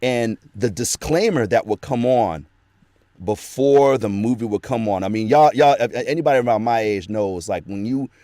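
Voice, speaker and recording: A man's voice: mid-range voice, animated, normal speed, warm tone, no room sound, clean studio sound. A middle-aged man, a voice actor, chatting casually as an interview guest, telling nostalgic stories in a rambling way with many restarts.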